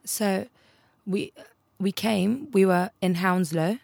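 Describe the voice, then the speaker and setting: A woman's voice: medium pitch, slow pace, vocal fry, darkish tone, dry recording, studio quality. A twenty-one-year-old woman, chatting casually as an interview guest, laughing often and acting out the voices in her stories.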